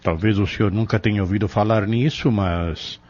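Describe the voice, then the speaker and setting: A Brazilian man playing a calm, reserved pharmacist in an audio drama, polite and measured with gentle authority. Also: lowish voice, natural voice. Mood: confidential, hesitant. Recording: dull lo-fi audio.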